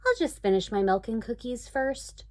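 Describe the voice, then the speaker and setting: A woman's voice: fairly high pitch, lively intonation, moderate pace, darkish tone, lightly acted. A woman voicing a polite, timid little girl in a storybook reading, in a raised, worried voice. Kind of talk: reading a picture book aloud.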